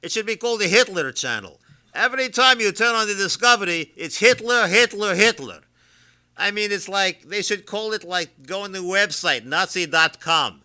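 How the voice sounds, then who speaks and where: A man hosting a comedy radio show, teasing his guest in a deadpan manner and stammering on restarts. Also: sits high, normal speed.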